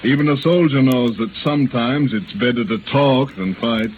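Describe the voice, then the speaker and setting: An actor playing a seasoned, authoritative army general in deliberate 1930s radio style. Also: male voice, medium pitch, lightly acted. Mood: measured, conciliatory. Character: seasoned, measured army general, firm but conciliatory. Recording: tinny 1930s radio recording, faint noise.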